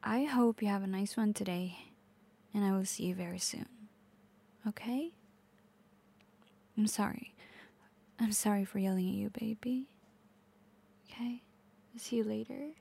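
A woman's voice: medium pitch, normal speed, gravelly, pauses often, warm tone, husky. A woman playing a possessive, volatile, manipulative girlfriend in an intense audio roleplay, addressing the listener directly. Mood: frustrated, apologetic. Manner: intense, swearing, swinging between pleading and menace.

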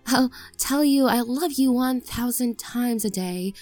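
A woman's voice: a little high, measured pace, a little mellow, faint music behind, lightly acted. A young woman voicing a clingy, obsessively devoted maid in an audio roleplay, pleading and stammering. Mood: flustered pleading.

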